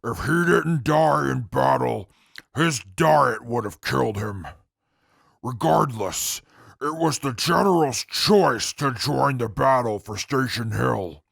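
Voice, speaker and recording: Haughty, scornful convict played by a man, extremely gravelly, slow and formal, for a wasteland audio drama. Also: mid-range voice, fairly bright tone, no echo, studio quality, exaggerated voice. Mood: scornful, blunt. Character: stern, haughty male prisoner, proud and scornful. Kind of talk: post-apocalyptic audio drama acting.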